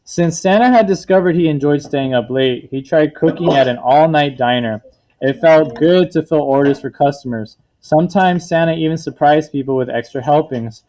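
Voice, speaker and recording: A man's voice: a little high, moderate pace, warm tone, rough recording. A man teacher reading a picture book aloud to children, explaining words simply and checking understanding with questions. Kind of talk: read-aloud storytelling with questions to a class.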